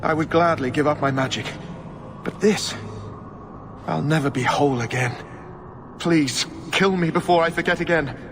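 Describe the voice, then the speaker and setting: An actor voicing a broken captive mage, solemn and sorrowful, in a dramatic fantasy video game. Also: male voice, a little high, normal speed, long pauses, natural voice. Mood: anguished, resigned. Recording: music behind the voice.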